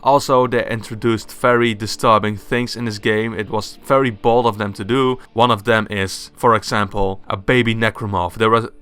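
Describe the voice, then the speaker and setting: A man's voice: fairly low pitch, steady tone, moderate pace, few pauses, a bit bright, clear, resonant tone. Young Dutch-accented male vlogger, cheerful, self-mocking, clean voice. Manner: enthusiastic, laughs at himself, swears casually. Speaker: gaming YouTuber. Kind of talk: casual opinion vlog. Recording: faint background music.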